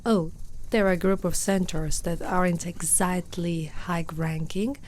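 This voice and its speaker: Soft, mellow centaur girl, voiced by a woman. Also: fairly low pitch, steady tone, a little hiss or hum, close to an everyday voice. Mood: reassuring. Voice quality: soft, breathy, often near whisper. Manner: tender, unhurried, speaking closely to one listener. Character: gentle, protective, curious young female centaur. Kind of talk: ASMR roleplay.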